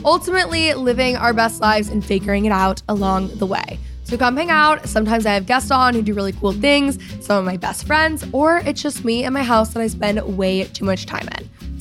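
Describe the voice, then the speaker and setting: A woman's voice: on the high side, fairly bright tone. A woman in her twenties hosting a podcast in a casual, chatty, gushing and enthusiastic way. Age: 20s. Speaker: podcast host. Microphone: close podcast microphone.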